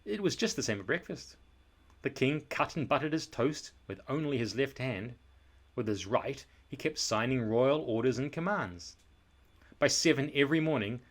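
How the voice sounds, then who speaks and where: A male storyteller with a medium-pitched voice reads a children's book aloud. His narration is expressive and scripted, leaning on key words with deliberate, dramatic emphasis.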